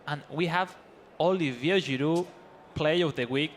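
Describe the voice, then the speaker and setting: A man's voice: fairly high pitch, a little hiss or hum. A man whose first language is Spanish, giving live esports analysis in eager, non-native English. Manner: eager, non-native phrasing, quick rising calls during play.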